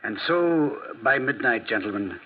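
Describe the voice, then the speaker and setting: An actor playing a grave storyteller, narrating a mystery in measured, theatrical 1940s radio style. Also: male voice, mid-range voice, animated, slightly rough. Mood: grave, suspenseful. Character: grave male storyteller recounting a murder mystery. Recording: muffled noisy old radio recording.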